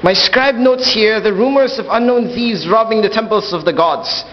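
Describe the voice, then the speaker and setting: Man acting high-voiced, solemn young-sounding Greek king. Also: normal speed, dull audio, a little hiss or hum, natural voice. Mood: troubled. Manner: solemn, formal old-fashioned diction. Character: dutiful, tender king of ancient Greece. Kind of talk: amateur radio play acting of Greek myth. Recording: reverberant hall sound, clear music behind.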